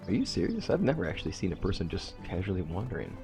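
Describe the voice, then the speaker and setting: A man's voice: low voice, huge pitch swings, fast, gravelly, over music. A man with a stuffy nose from a cold, hosting a casual game playthrough and thinking aloud as he reworks his plans. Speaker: let's play host. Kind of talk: casual gameplay commentary.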